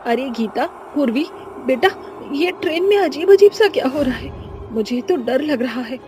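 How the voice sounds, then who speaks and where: A woman's voice: sits high, animated, moderate pace, darkish tone. A woman voicing a frightened, anxious mother on a train in a cartoon, nervous and pleading. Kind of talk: Hindi cartoon voice acting.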